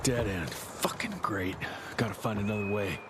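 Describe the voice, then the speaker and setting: Low, grim monster hunter acted by a man. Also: moderate pace, heavy background noise, lightly acted. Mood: grim, wary. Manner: terse muttering to himself. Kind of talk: video game acting.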